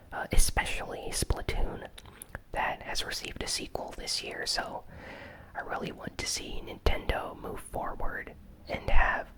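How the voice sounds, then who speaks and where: Whispered ASMR gaming news host. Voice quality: soft unvoiced whisper. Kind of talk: whispered ASMR news recap with casual commentary.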